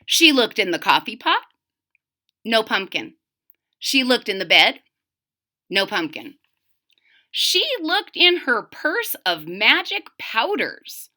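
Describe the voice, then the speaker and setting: A woman reading a storybook aloud to young children, expressively, with direct asides to the listeners. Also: fairly high pitch. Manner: deliberate, animated, addressing children directly. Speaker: storybook reader.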